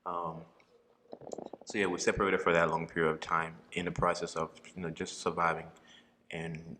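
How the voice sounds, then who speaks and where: Man, very low voice, forceful bright edge, long room reverb. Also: level tone, slight background noise. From Liberia. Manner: thoughtful, pauses with ums, quick to laugh. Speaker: podcast guest. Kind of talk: faith testimony in casual interview.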